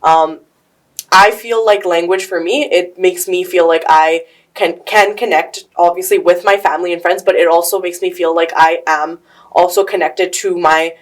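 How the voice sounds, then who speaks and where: A woman's voice: on the low side, steady tone, moderate pace. A young woman of Fiji Indian heritage hosting a casual talk show, chatty and full of "um"s and "like"s. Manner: chatty, many "um"s and "like"s. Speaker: podcast host. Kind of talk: casual chat.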